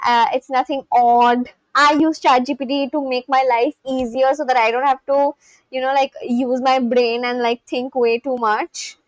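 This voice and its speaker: A woman's voice: high-pitched, flat delivery, normal speed, no echo, poor audio. A young woman from India with an Indian English accent, chatting casually on a live stream, rambling with lots of fillers and restarts. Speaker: livestream host.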